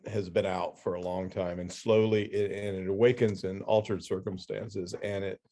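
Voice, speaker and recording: A man's voice: deep voice, little pitch movement, normal speed, mellow. A man speaking as a guest editor in casual discussion, thoughtful and hesitant as he searches for words. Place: remote video call.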